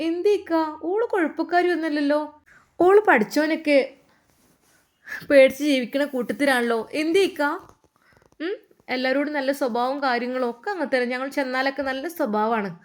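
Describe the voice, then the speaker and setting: Brief: woman playing a tearful young wife, high and pleading. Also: normal speed, close to an everyday voice. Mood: worried.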